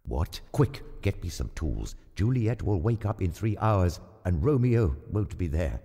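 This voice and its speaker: A man playing a grave, deep-voiced old friar. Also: very animated, measured pace, creaky, warm tone, breathy edge, no room sound, clean studio sound, heavily acted. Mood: alarmed. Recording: music under the voice.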